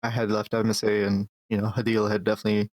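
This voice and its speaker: A man's voice: lowish voice, completely flat delivery, fast, a little mellow, no echo. A man in his twenties, born in Pakistan, talking earnestly as a guest in a casual interview and often repeating words to stress a point. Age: 20s. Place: Calgary, Canada.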